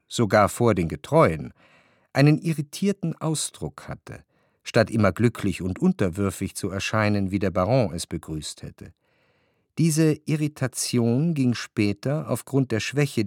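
A man narrating a literary radio drama, phrasing long, scripted sentences in a measured way. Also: mid-range voice, no echo, studio quality. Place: dry studio.

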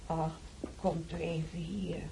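An older woman in a hospital bed, with a very creaky, slow voice. She is needy and gossipy, halting as she complains or confides, in an old-fashioned Dutch radio drama manner. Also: sits low, level tone, warm tone, slight background noise, exaggerated voice. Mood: secretive, gossipy. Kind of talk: Dutch radio play acting.

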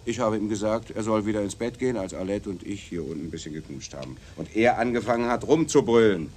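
Man acting a low-voiced, glib, sneering pimp. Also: quick pace, darkish tone, a little hiss or hum, close to an everyday voice. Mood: mocking, indignant. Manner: mocking, glib, theatrical pleading. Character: cocky, wheedling pimp pleading with and sneering at police. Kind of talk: radio play acting.

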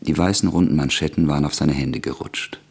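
Very deep, gravelly male narrator. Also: a little mellow, a little hiss or hum. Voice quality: deep, measured. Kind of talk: scripted radio play narration.

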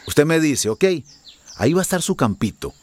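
An actor playing a cocky, corrupt small-town policeman in a radio drama, with a swaggering, smooth-talking delivery. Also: on the high side, big pitch swings, normal speed. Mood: persuasive.

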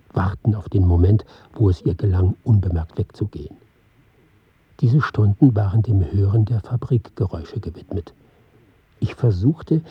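An actor playing an earnest, reflective film pioneer, giving a measured, formal radio drama monologue in a husky voice. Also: male voice, on the low side, no room sound, highs cut off. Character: earnest, reflective male film pioneer recounting his experiments. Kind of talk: scripted radio drama monologue, read as lecture.

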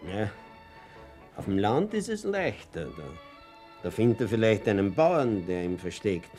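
A man's voice: lowish voice, very animated, unhurried, darkish tone, faint music behind. An actor with an Austrian accent playing an anxious, guilt-ridden middle-aged father and reluctant party member in a radio drama, speaking in a hesitant, colloquial way. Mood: troubled, earnest. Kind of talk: naturalistic radio drama acting.

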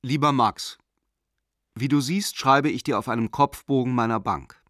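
An actor playing a zealous, coldly formal German banker in a radio play, reading a letter in a measured, self-righteous, declamatory way. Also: male voice, mid-range voice, fairly bright tone, no room sound, clean studio sound, lightly acted. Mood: curt, formal.

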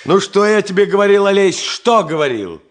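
An actor playing a jovial, boisterous engineer in a Soviet radio drama, teasing and exclaiming theatrically. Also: male voice, high-pitched, animated, normal speed. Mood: jovial, exuberant. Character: jovial, boisterous engineer, bantering with friends and wife.

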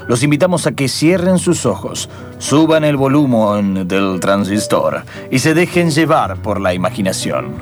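A man narrating improvised radio theatre in a dramatic, portentous way, with deliberate repetitions. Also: on the high side. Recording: music behind the voice.

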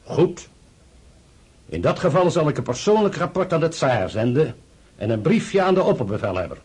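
A man's voice: fairly high pitch, sing-song, normal speed, a little mellow, breathy edge, full character voice. A man acting a shrewd, superior senior general in a radio play, condescending and pointed. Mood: sceptical, decisive.

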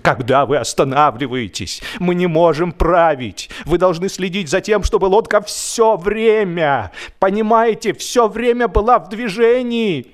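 A man voicing an exasperated gentleman boater in a comic audiobook, pleading loudly with strained, emphatic delivery. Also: high-pitched, unhurried, few pauses, pitched above the performer's own voice.

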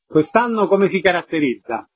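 Husky higher-pitched male radio host. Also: very animated, normal speed. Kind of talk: radio interview presenting. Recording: muffled, band-limited radio interview audio.

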